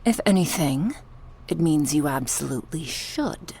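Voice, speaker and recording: A woman's voice: sits low, lively intonation, vocal fry, a little mellow, slight background noise, heavily acted. A woman playing a cruel, sadistic goddess who taunts sarcastically in a horror ASMR roleplay. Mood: sarcastic. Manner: taunting, sarcastic, slow and drawn out with sighs. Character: sadistic goddess, mocking, cruel, playfully menacing captor.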